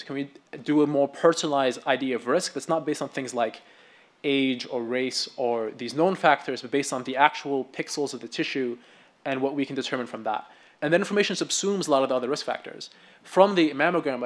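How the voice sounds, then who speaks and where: Man, conference presenter, rapid, eager delivery. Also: mid-range voice. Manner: quick, enthusiastic, with frequent restarts. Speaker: researcher presenting at a medical symposium. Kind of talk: conference talk.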